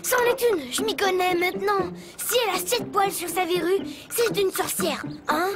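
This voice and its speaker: A performer voicing an excitable, imaginative young child in a children's cartoon, exclaiming dramatically. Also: very high-pitched, normal speed, over loud music. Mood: alarmed.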